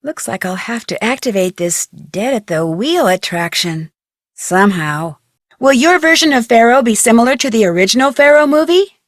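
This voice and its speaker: A young woman voicing an inquisitive, polite young detective in a video game, speaking scripted lines clearly and earnestly. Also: a little high, no echo, studio quality, close to an everyday voice. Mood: thoughtful, then curious, probing.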